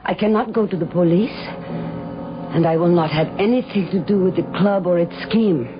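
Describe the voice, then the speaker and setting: A woman's voice: fairly low pitch, normal speed, over music, natural voice. An actress playing a cornered, proud and wary woman, speaking formally and precisely in a radio drama. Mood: anxious resolve. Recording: dull lo-fi radio recording.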